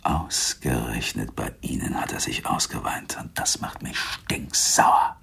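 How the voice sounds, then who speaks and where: An actor playing a menacing, boastful gangster with a gravelly husky voice, talking tough street slang in a radio crime drama. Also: male voice, a bass voice, measured pace, sharp tone, whispered, full character voice. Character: menacing, boastful male gangster, war veteran with grudge.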